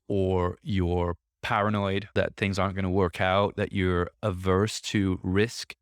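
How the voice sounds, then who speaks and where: Low-voiced man in his thirties, dry sound. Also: dry recording. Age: 30s. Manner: conversational, reflective, self-coaching tone. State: slightly congested, throat a bit off. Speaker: podcast host. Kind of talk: solo podcast monologue. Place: office.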